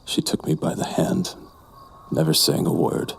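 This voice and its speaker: A man playing a brooding, lustful, menacing narrator in a horror audio drama, with slow, deliberate, breathy delivery. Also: a little hiss or hum, lightly acted. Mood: brooding, smug. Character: brooding, lustful, menacing male narrator recounting a dark memory.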